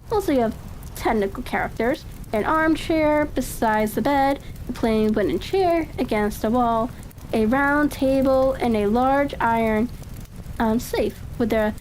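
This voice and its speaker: High-pitched woman reading a story haltingly. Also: darkish tone, low-quality recording. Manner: halting, stumbles over phrases. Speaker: audiobook reader. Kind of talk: relaxed storybook reading for ASMR. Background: faint ambient noise.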